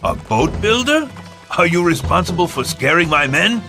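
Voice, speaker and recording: An actor playing a resolute, authoritative admiral in a dramatic game cutscene, speaking deliberately. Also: male voice, on the high side, sing-song. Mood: commanding, curious. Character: commanding, resolute Korean admiral. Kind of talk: dramatic scripted game acting. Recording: loud music behind the voice.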